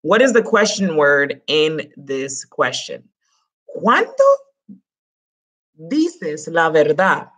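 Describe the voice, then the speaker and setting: A lively woman in her thirties teaches a Spanish class from a small room at home over a close webcam. Her Spanish words carry a Dominican accent, and she breaks off into playful, chatty asides to her students. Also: low-pitched, slow pace, long pauses, husky. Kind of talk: online class lesson.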